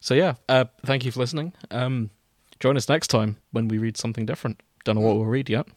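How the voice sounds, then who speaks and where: A man's voice: mid-range voice, steady tone, quick pace, no room sound, studio quality. A man, chatting casually as the host of a podcast.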